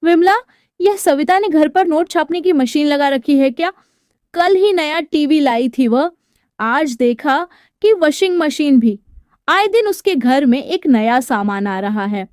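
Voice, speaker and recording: A woman's voice: sits high, expressive, measured pace, darkish tone, dry recording, clean studio sound, voice pushed up. A woman voicing a gossiping, suspicious housemaid from the neighbourhood in a dramatised story, full of insinuation. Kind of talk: dramatised Hindi moral storytelling.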